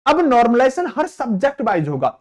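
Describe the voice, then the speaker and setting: A man's voice: very high voice, sing-song, brisk. A man teaching exam candidates in an explanatory, repetitive way, often asking questions and answering them himself. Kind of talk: explanatory lesson addressed to students.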